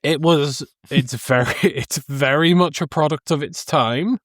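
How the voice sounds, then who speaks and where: A man's voice: a little high, a little slow, fairly bright tone, no echo, clean studio sound. A man in his thirties co-hosting a podcast, casually discussing film in hesitant, stop-start phrases. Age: 30s. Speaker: podcast co-host.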